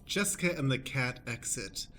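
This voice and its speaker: A man's voice: medium pitch, expressive, moderate pace, bright, forceful tone, soft background music. A man acting as stage manager, reading out scene directions for an improvised radio play.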